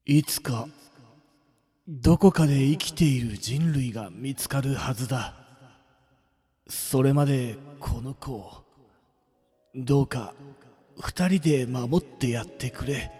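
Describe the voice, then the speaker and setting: A man playing a weary scientist in a radio drama, gravely and quietly entrusting a final wish. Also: medium pitch, slow, long pauses, soft background music. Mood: solemn, imploring. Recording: long reverb, distant flashback sound.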